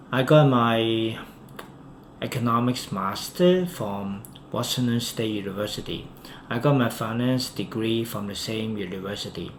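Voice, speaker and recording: Man, hong kong english accent, cantonese native speaker, slow deliberate pace, fairly low voice, roomy echo, faint hiss. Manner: unhurried, with frequent um and uh and loud emphatic phrase starts. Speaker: finance YouTuber. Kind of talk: solo monologue to camera. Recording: roomy, with faint background noise.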